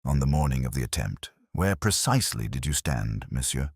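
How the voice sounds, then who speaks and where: Casting: male narrator as a self-assured storybook detective, very low voice, precise, deliberate, expressive phrasing. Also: husky, dry recording, studio quality, heavily acted. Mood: probing, restrained.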